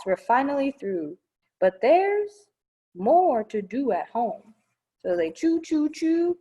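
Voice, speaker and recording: A woman's voice: sits high, a little mellow. A woman reading a children's picture book aloud at storytime, playful and sing-song, with simple asides explaining things to kids. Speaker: children's storytime reader at a public library.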